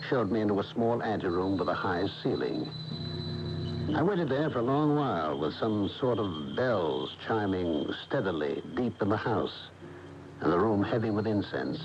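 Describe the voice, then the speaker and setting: A deep-voiced, skeptical American man in a 1980 radio play. He sounds like a worldly storyteller. The old AM recording is dull and clearly noisy. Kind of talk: 1980 radio drama narration and dialogue. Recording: noisy, muffled AM radio broadcast tape.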